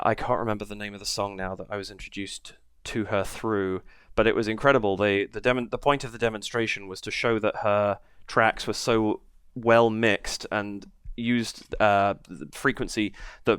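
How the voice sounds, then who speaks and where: A man's voice: on the low side, fairly even intonation, moderate pace, clean tone, no echo, studio quality. Stuffy-nosed man hosting a reaction video. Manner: chatty, many ums and uhs, warm thanks to viewers. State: stuffed up with a head cold. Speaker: reaction channel host. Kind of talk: casual video commentary.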